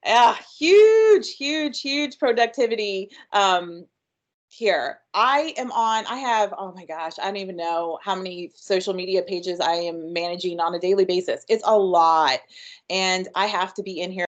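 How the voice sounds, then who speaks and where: A woman's voice: mid-range voice, unhurried. A woman giving an upbeat, chatty webinar presentation with frequent ums. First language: English. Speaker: workshop presenter.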